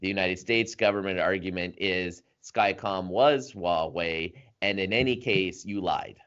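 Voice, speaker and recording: A man with a fairly low voice walks through a news story at length, in a casual podcast chat. He fills pauses with ums and uhs. The sound is dull and lo-fi. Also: fairly bright tone. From Canada. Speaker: podcast co-host.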